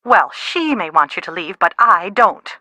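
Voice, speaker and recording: A woman playing an anxious, sharp-tongued middle-aged mother in a video game, with a dry, dramatic manner. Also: on the low side, big pitch swings, measured pace, no room sound, heavily acted. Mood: wry, concerned. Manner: exasperated, dramatic, dry wit. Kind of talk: video game dialogue, phone conversation acting.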